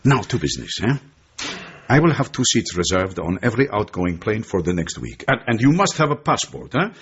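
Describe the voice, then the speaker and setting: An actor playing a genial, shrewd Turkish intelligence chief in a radio drama, with a Turkish accent, a husky voice and an expansive manner. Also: male voice, on the low side, very animated, fairly quick, fairly bright tone, muffled recording, exaggerated voice. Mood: brisk, genial. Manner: expansive, tags sentences with a questioning "eh".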